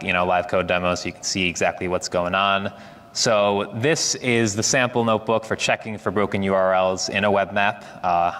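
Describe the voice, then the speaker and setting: A man with a loud voice giving a casual, energetic technical software demo at a conference. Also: sits low, in a large hall. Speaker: conference presenter. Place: large conference hall.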